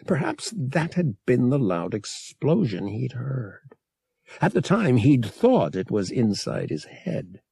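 A man reading an adventure novel as an audiobook narrator, in a measured, deliberate and dramatic storytelling style. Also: lowish voice, gravelly, warm tone, breathy edge, no room sound, studio quality.

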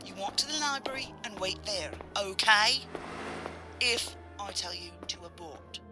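An actress as a brisk, protective female operative in a sci-fi audio drama. She keeps a middle-pitched voice and directs her people firmly, with music clearly playing underneath. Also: expressive, close to an everyday voice. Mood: tense, hushed. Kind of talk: science fiction audio drama acting.

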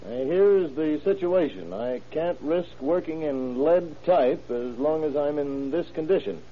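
An actor playing a wry, needling, eager police detective with a folksy, teasing manner in old-time radio crime drama style. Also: male voice, on the high side, unhurried, natural voice. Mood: deliberate, reading aloud. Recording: tinny, noisy vintage radio recording.

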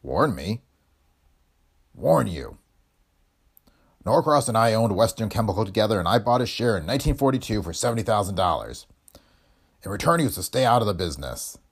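A cold, threatening businessman in his fifties, as a male narrator puts him on, sharp and mocking. His voice climbs higher and louder than the narration and swings widely. A husky grain runs under it. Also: big pitch swings, fairly quick, long pauses, exaggerated voice. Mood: sharp, angry.

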